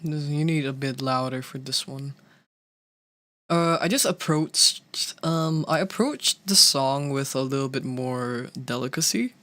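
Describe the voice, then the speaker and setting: A streamer and cover singer chatting casually, talking haltingly with many restarts and fillers. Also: medium pitch, a bit bright, no echo. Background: faint background music.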